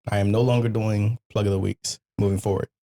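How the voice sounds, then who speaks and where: A man's voice: lowish voice, steady tone, fairly quick, warm tone. A man hosting a podcast in casual chat, telling stories informally with plenty of slang and swearing. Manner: profane, slangy, informal storytelling. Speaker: podcast host.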